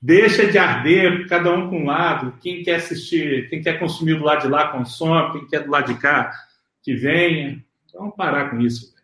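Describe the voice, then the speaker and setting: A man's voice: a little high, moderate pace, pushed and bright. A man with a Brazilian Portuguese accent, a co-host on a football live stream, blunt and opinionated with plenty of slang. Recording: roomy echo.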